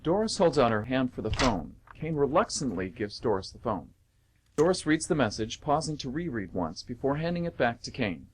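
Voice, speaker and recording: A male narrator reads scripted stage directions in a radio play. His pitch moves around in a lively way, sitting in an ordinary middle register. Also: moderate pace, background noise.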